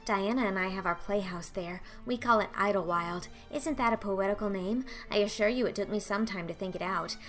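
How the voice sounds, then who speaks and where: A woman's voice: medium pitch, fairly quick, hardly any pauses, a bit bright, lightly acted. Storybook role: dreamy, talkative orphan girl, played by a woman, gushing, breathless, theatrical, faint music underneath. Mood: dreamy chatter. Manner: breathless, gushing, dramatic, flowery wording. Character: excitable, dreamy, talkative eleven-year-old orphan girl. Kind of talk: audiobook character acting.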